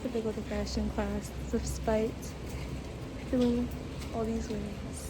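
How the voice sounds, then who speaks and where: A woman's voice: a little high, background noise. Sick young woman with a weak voice. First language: English. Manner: chatty, laughing, lots of "like" fillers. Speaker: vlogger and language student. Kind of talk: casual vlog chat mixing English and learner Korean.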